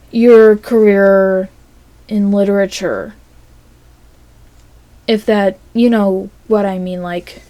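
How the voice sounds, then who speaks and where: A woman recording a casual, rambling audio log monologue in a hesitant, halting way that trails off between phrases. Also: mid-range voice, mellow, dry recording.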